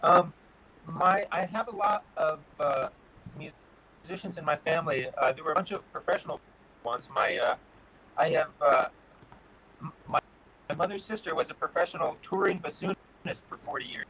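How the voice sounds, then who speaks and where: A middle-aged man from Connecticut answering interview questions in a relaxed way, pausing often with fillers. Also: fairly high pitch, a little hiss or hum, rough recording. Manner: relaxed, pauses with many "uh" fillers. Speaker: guest, guitar builder.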